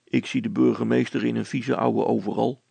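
A man's voice: medium pitch, fairly even intonation, brisk, creaky, warm tone, slightly rough, dry recording. A man voicing a sober, patient, doubting village smith in a story, with a dry, hesitant manner. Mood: dry, glum.

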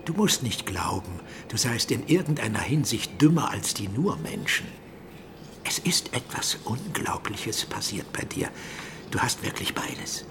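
An actor in a German radio drama playing an encouraging scientist mentor who speaks with hushed, coaxing intensity. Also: male voice, on the low side, creaky, background noise.

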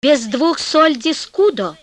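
Woman doing grumpy huge innkeeper guy in a fairytale, stubborn, blustery, kinda high voice, drawn out words. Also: lively intonation, slow, dry recording. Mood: obliging. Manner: indignant, blustering, emphatic.

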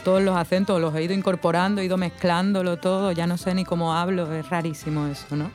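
A woman in her thirties from Cádiz, with a mixed Andalusian Spanish accent, chatting and telling stories in a lively way. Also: lowish voice, fast talker, faint music behind. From Spain (Cádiz). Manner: chatty, animated storytelling with frequent "¿no?". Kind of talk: casual conversation.

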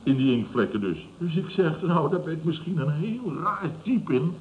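A smug building boss in his fifties in an old radio comedy. His voice sits fairly high and he blusters, repeats himself and chuckles over his own tales. The recording is dull and clearly noisy. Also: sing-song, moderate pace. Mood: indignant, amused. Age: 50s. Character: gruff, self-satisfied older male building boss telling tales. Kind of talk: 1970s Dutch radio comedy acting.

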